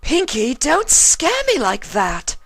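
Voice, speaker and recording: Skittish, very high-pitched cartoon pony. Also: female voice, huge pitch swings, slow, vocal fry, a bit bright, slightly rough, full character voice. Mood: startled. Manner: exclaiming, emphatic.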